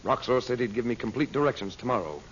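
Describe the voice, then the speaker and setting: An actor playing an earnest, steady adventurer hero and uncle in an old-time radio serial. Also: male voice, mid-range voice, fast, close to an everyday voice. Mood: worried, earnest. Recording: muffled, hissy old radio recording.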